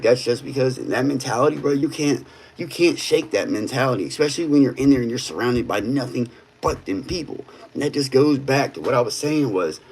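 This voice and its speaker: A man's voice: mid-range voice, breathy edge, rough recording. A man in his thirties talking bluntly in casual streetwise slang on a livestream. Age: 30s. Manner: blunt, streetwise, peppered with "bro".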